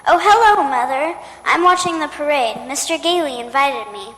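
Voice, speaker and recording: Woman acting a little girl, very high bright voice, polite, eager, radio drama. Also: animated, normal speed, big-room sound, poor audio. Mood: bright, curious. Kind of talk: old-time radio play acting.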